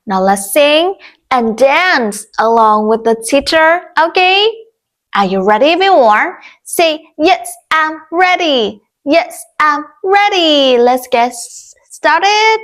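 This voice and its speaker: A young Vietnamese woman teaching English to children, speaking slowly with exaggerated repetition of each phrase. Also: sits high, expressive, fairly bright tone. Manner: slow, exaggerated, repeats every phrase for young learners.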